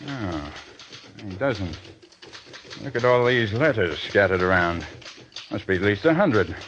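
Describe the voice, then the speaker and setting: An actor playing a genteel, concerned factory boss, polite and hesitant, in a 1940s radio drama style. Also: male voice, on the low side, animated, measured pace, fairly bright tone. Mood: pitying. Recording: dull vintage radio recording, faint noise.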